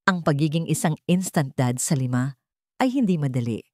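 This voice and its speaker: A woman's voice: low voice, animated, normal speed, no echo, studio quality. A woman with a Filipino accent reading a scripted dramatic story as a storyteller.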